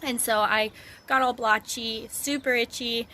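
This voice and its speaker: A hoarse woman chats through her daily vlog, her voice sitting a little high. She wanders from thought to thought with lots of ums. It is a noisy phone recording. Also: fairly even intonation, measured pace, bright, forceful tone. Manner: chatty, rambling with many ums. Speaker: vlogger. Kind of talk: casual daily vlog to camera. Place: outdoors on a rural road, wind.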